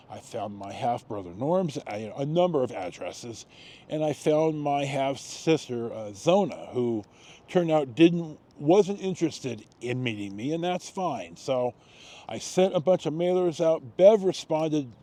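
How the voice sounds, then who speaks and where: Man in his 60s, American accent, mellow relaxed tone, mid pitch, casual personal storytelling, halting and repetitive delivery. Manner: halting, with frequent pauses and repetitions. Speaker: storytelling vlogger.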